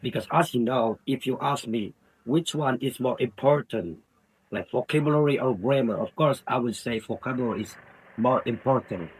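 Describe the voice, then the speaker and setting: Male non-native speaker with an Indonesian accent. Medium pitch, a little husky. Informal study-tips monologue, halting, circles back over words. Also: poor audio. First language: Indonesian, Sundanese and Javanese. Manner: halting, repeats words and phrases. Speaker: language learner giving study tips. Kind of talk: informal monologue giving advice.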